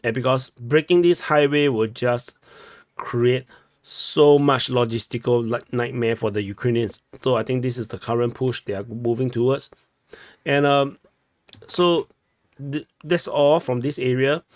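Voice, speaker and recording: A man's voice: medium pitch, fairly even intonation, a little slow, no room sound. A young man from Singapore with a Singaporean English accent, giving casual commentary over war maps, chatty and often correcting himself, tagging "no?" on his points. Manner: chatty, self-correcting, ends with "no?". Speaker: war map analyst. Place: small room at home.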